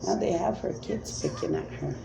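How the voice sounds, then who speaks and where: A woman's voice: fairly low pitch, wildly swooping pitch, moderate pace, gravelly, noisy background. A woman speaking as a self-described spirit medium in a rambling, emphatic monologue, pleading and repeating commands.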